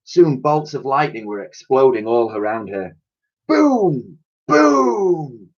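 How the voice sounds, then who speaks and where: A man's voice: medium pitch, very animated, takes it slowly, muffled recording. A man reading a children's story aloud like a teacher, with theatrical, suspenseful narration and emphatic sound effects spoken by mouth.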